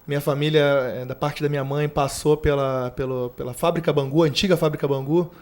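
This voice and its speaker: A man in his late thirties from Rio de Janeiro speaks with a Brazilian accent as a studio interview guest. His pitch is slightly high for a man, and he answers in an earnest, formal, measured manner. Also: fairly even intonation, darkish tone. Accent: Brazilian Portuguese from Rio de Janeiro. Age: 30s. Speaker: guest, fire brigade commander. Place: university TV studio.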